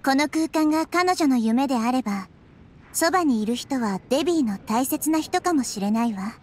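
A woman voicing a gentle, wise, childlike girl deity in an anime video game, speaking in a measured, explanatory way. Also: high voice, animated, slight background noise. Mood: composed. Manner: measured, soft-mannered, explanatory.

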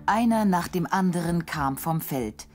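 Low-voiced woman narrator. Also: lively intonation, measured pace, darkish tone, faint music behind. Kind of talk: scripted storytelling narration.

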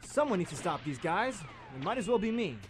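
A high, very animated young male voice acted by a man plays a cocky high-school rebel turned masked vigilante. It is theatrical radio drama with clear noise and effects behind it. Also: a little fast. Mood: sulky, sardonic. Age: young adult. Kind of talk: student live radio theatre acting. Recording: noisy live radio play with gunfire effects.

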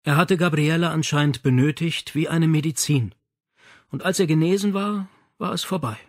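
A man's voice: fairly high pitch, moderate pace, dry recording, studio quality. A man reading a novel aloud as scripted audiobook narration.

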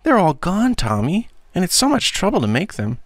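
Man putting on a high-pitched, fretful gentle aunt. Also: wildly swooping pitch, moderate pace, no echo, heavily acted. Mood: coaxing, fretful. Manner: soft, fussing, coaxing.

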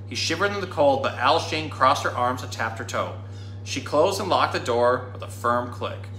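A man in his thirties reading a children's story aloud in an animated, dramatic storytime manner. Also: mid-range voice. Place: indoor room. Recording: roomy indoor sound with faint noise.

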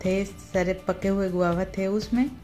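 A woman's voice: mid-range voice, little pitch movement, unhurried, mellow, faint music behind. A woman giving a casual tour of her home garden, chatting unhurriedly while filming her plants on a phone outdoors. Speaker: gardening vlogger.

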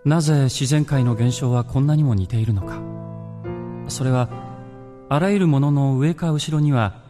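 A grave ancient philosopher, played by a man, declaims in a mid-pitched voice. He is a solemn, impassioned idealist in a radio drama, with music clearly behind him. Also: normal speed, lightly acted. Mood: solemn, earnest.

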